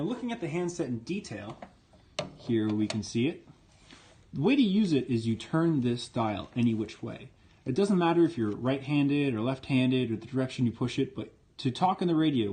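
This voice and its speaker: A man's voice: medium pitch, animated, normal speed, warm tone. Male presenter, mid-range voice, for an informal hands-on demo; plainspoken, step-by-step delivery. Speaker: presenter demonstrating vintage military radio equipment.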